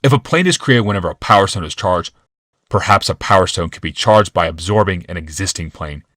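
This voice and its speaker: A man's voice: low-pitched, lively intonation, fairly quick, a bit bright, no room sound, studio quality. A man voicing a smug, casually cruel genius in an audiobook, explaining in a taunting, casual way.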